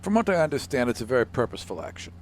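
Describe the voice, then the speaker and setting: A man voicing a lofty, world-weary young demigod prince who speaks in a formal, measured way. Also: medium pitch, big pitch swings, a little hiss or hum, lightly acted. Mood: matter-of-fact.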